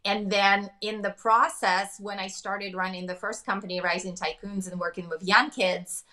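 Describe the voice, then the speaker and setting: A woman from Russia with a Russian accent, speaking fast and emphatically as a business coach in a podcast interview. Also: medium pitch, steady tone, sharp tone.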